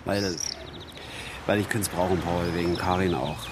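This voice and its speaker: A man's voice: low-pitched, steady tone, normal speed, background noise, close to an everyday voice. An actor playing a talkative, boastful working-class father down on his luck, telling rambling colloquial stories in a radio play. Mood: troubled. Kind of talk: naturalistic German radio play acting.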